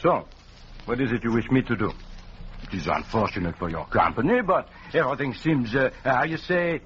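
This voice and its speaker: An actor playing a vain, genial detective with a French accent, in a pompous, theatrical 1940s radio style. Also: male voice, mid-range voice, lively intonation, fairly quick, fairly bright tone, natural voice. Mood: playful, musing. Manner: theatrical, pompous, mangles English idioms. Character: vain, genial Belgian male detective with grand airs. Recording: dull, noisy 1940s radio recording.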